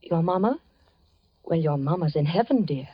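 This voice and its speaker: A woman's voice: low-pitched, animated, normal speed, husky, dry recording. A gracious, probing young lady. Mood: coaxing. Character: polite, curious young woman courted by a widower. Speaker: radio drama actress. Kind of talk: 1940s radio acting. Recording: dry, dull 1940s radio recording with faint music.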